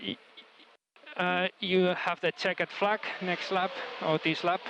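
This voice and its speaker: Gravelly male race engineer on buzzy radio. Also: high voice, flat delivery, takes it slowly. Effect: team radio. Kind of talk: team radio instructions. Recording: buzzy, noisy team radio link.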